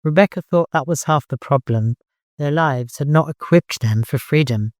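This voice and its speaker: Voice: mid-range voice, normal speed, darkish tone, no echo, clean studio sound. An audiobook narrator reading scripted fiction in a dramatic storytelling style.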